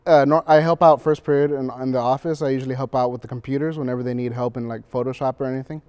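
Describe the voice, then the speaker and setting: Teenage guy with a mid-pitched voice. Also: steady tone, quick pace, darkish tone, clear, resonant tone. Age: teens, high school student. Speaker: student actor. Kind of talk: casual interview anecdote.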